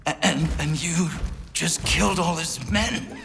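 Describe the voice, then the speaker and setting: An actor playing a frantic, foul-mouthed, drugged-up trench commander in a video game cutscene. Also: male voice, on the high side, a little slow, vocal fry, long reverb tail, noisy background. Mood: outraged, panicked. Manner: profane, blustering, jittery, cackling.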